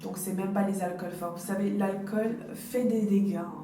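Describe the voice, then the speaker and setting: A woman's voice: medium pitch, steady tone, moderate pace, warm tone. A woman in her late twenties chatting casually to camera as a beauty vlogger, giving personal advice and addressing her viewers directly. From Dominican Republic. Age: late 20s. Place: indoors, hotel. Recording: roomy, distant mic, faint background noise.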